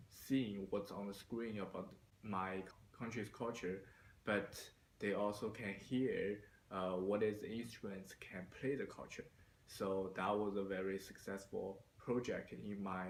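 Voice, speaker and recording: A man from China, a university student, answering interview questions slowly with many hesitations. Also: on the low side, steady tone, reverberant, a little hiss or hum, rough recording. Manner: slow, hesitant, many fillers.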